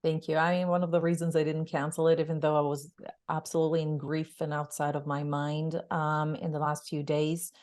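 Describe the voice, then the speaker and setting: A woman's voice: low-pitched, level tone, a little fast, a little mellow. A woman from Israel, a guest expert on a webinar, speaking earnestly over a video call. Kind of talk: webinar talk.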